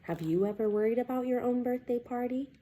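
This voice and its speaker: A woman reading a children's picture book aloud as a teacher, expressive and deliberate, pausing to put questions to young listeners. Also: a little high.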